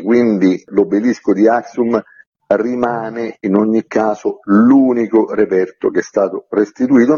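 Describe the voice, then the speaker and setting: A man with a fairly low, husky voice answers as an interviewed author. He explains methodically, laying things out point by point. The recording is dull and lo-fi. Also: mellow. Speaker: author interviewed about his book.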